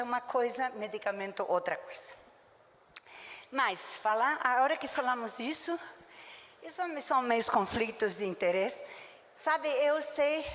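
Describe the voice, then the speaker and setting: A woman's voice: on the high side, a bit bright, a little hiss or hum. Emphatic woman lecturing. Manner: emphatic and blunt, with short punchy verdicts. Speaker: physician giving a conference lecture. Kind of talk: conference lecture. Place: conference hall. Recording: long reverb of a lecture hall.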